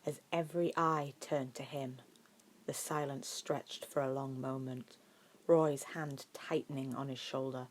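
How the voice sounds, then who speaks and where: A woman narrates fiction with a low-pitched voice. Her delivery is expressive scripted storytelling in the manner of an amateur audiobook. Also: a little hiss or hum.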